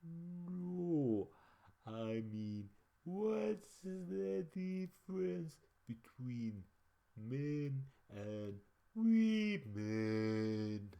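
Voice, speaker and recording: A man playing an anxious, confused character in an amateur audio story, pondering aloud in a halting, dramatic way. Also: a little high, very animated, gravelly, warm tone, full character voice. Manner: halting, dramatic, swooping delivery. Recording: dull, muffled home recording.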